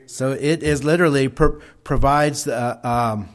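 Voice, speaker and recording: A middle-aged man with a Texas accent, a missionary telling a church congregation about his work and answering questions in a folksy, halting way with many restarts. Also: mid-range voice.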